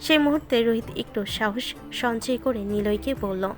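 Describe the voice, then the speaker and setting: A woman's voice: on the high side, moderate pace. A woman reading scripted narration of a romantic story, with music behind her voice.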